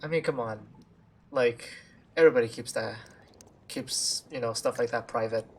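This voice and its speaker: A man giving casual live commentary as a game streamer, reacting in a halting, informal, repetitive way. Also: medium pitch, slight background noise.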